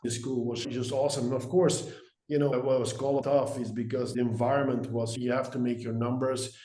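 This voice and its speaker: A man with a Belgian accent speaks as an interview guest, recounting his working life. His delivery is fairly flat in pitch and his tone is mellow. The recording has a noticeable room echo. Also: a little fast. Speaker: guest, sales executive. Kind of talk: interview answers, career storytelling. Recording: roomy, echoey sound.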